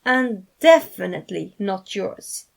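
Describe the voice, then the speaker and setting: A stern, sardonic man, voiced by a woman, who scolds and scoffs. The pitch sits in an ordinary female register, with a reproving storybook delivery. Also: expressive, slow pace, creaky, heavily acted. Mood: scoffing. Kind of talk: fan fiction character reading.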